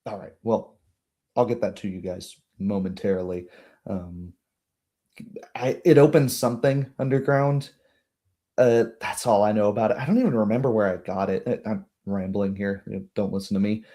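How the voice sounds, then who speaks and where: A man's voice: lowish voice, normal speed, mellow, lightly acted. A man voicing a forgetful old female gnome who rambles hesitantly and apologizes in a tabletop game. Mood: flustered, apologetic. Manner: hesitant, rambling, self-deprecating.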